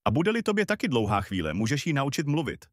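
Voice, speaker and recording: Muž hraje chytrý mladý tovaryš, živá intonace. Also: male voice, mid-range voice, sing-song, no echo, studio quality, natural voice. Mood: storytelling, clever. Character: clever, bold young journeyman in a fairy tale. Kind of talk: storybook voice acting.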